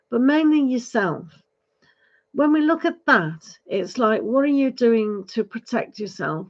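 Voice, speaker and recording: A woman giving a live tarot reading, advising gently with many fillers, her voice going hoarse. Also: medium pitch, lo-fi sound. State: losing her voice, clearing throat. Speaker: tarot reader.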